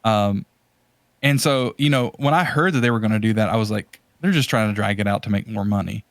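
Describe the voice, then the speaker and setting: A man hosting a podcast in casual conversation, talking in a halting, rambling way as he searches for words. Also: on the low side, no echo. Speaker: podcast host.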